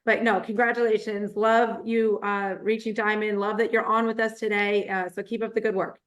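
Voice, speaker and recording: A woman who has a cold and is losing her voice, congratulating a team member warmly. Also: medium pitch, level tone, normal speed. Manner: warm, congratulatory.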